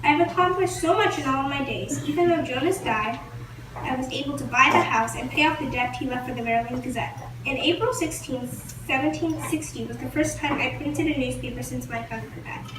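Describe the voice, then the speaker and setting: High-voiced colonial widow printer, proud n busy, a woman plays her, reads off a script, echoey room, mic far off, noisy. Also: fairly quick, close to an everyday voice. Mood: earnest. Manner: reads a prepared script, tripping over words. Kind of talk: first-person historical role-play. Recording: roomy, distant mic, noisy background.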